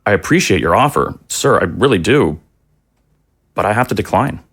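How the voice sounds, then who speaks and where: A man's voice: lowish voice, lively intonation, moderate pace, fairly bright tone, slightly rough, heavily acted. An actor playing an earnest, humble father in an audio drama, sincere, hesitant and pleading. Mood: earnest refusal. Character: earnest, anxious zombie father, humble and principled.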